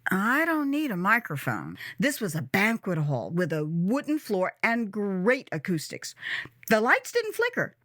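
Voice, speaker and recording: A woman reading her own memoir as dramatized storytelling, with a theatrical, expressive and emphatic delivery. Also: mid-range voice, measured pace, dry recording, studio quality. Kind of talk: dramatized first-person storytelling.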